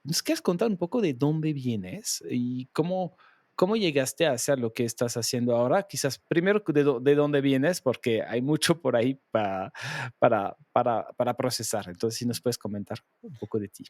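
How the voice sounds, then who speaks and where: A man's voice: medium pitch, expressive, normal speed, darkish tone, no echo. A man hosting a podcast who asks his guest a question, stumbling and repeating words as he talks. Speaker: podcast host. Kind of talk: interview question.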